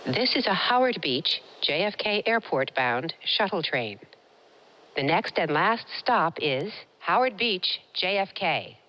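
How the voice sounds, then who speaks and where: A woman's voice: lowish voice, sing-song, slow pace, bright, forceful tone, muffled recording. A woman delivering scripted train announcements as a transit announcer.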